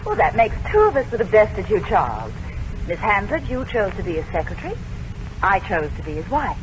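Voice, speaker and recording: An actress playing a brisk, possessive, teasing society fiancée in an old radio drama, with an arch, theatrical delivery. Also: female voice, medium pitch, wildly swooping pitch. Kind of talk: 1940s radio drama acting. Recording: muffled, noisy old radio recording.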